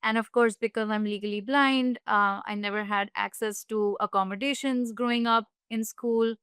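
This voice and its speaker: A woman from India speaking on a panel in a friendly, measured way. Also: on the high side, fairly even intonation, fairly bright tone, smooth, no room sound, studio quality. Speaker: assistant professor, panelist. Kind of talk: panel talk.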